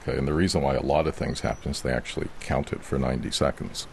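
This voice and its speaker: A man, a professor, giving halting and thoughtful explanations as an interviewed expert. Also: extremely low pitch, steady tone, gravelly, slight background noise.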